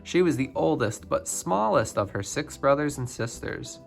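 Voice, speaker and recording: A man reading a children's picture book biography aloud, phrase by phrase with expressive emphasis. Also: medium pitch, normal speed, gravelly, faint music behind. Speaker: storybook reader.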